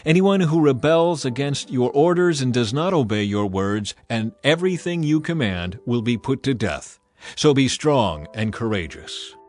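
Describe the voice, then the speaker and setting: A man reading scripture as a dramatized narration, in a solemn, measured storytelling way. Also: medium pitch, animated, a little mellow, faint music behind. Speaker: Bible narrator.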